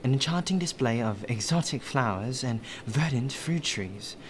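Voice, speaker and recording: A man's voice: medium pitch, animated, normal speed, natural voice. A man playing a polite, deferential butler in an ASMR roleplay, with formal, flowery phrasing. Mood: polite, chatty. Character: courteous, well-spoken male butler, deferential and appreciative. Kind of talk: ASMR roleplay acting, addressed to the listener. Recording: rain ambience and clear background noise.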